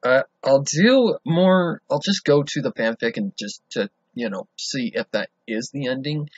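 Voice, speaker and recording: Husky guy thinking out loud. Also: medium pitch, unhurried, no echo. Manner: excitable, swearing, thinking out loud. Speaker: reaction video host. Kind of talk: casual reaction commentary. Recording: dull lo-fi home recording.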